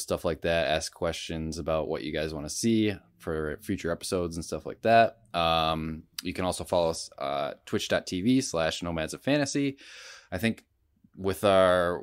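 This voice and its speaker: A man's voice: deep voice, clean tone. A man co-hosting a podcast in casual chat, with informal, laid-back phrasing. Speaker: podcast co-host.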